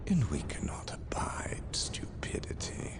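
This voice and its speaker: A man playing a cold, scheming chaos villain in a video game, sneering and pompous, with a gravelly voice. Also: a little slow, in a whisper, over music, close to an everyday voice. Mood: scornful, menacing.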